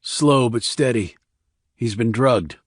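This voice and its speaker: A man voicing a terse, authoritative, steady former army investigator in audiobook dialogue. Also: medium pitch, slow pace, slightly rough, no room sound, clean studio sound. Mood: measured.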